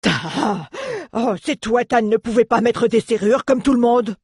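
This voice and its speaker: A grumpy male dwarf acted out by a storybook reader, loud and indignant. His voice jumps up high and rough as he grumbles and exclaims with sarcastic scorn. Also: unhurried, no echo, clean studio sound. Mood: exasperated.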